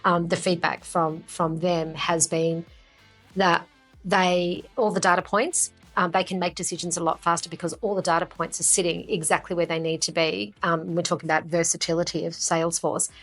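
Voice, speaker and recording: Female business interviewer, lowish voice, conversational promo style over faint music. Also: little pitch movement, moderate pace, fairly bright tone. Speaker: interviewer, company founder and chief executive. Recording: music behind the talk. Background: background music.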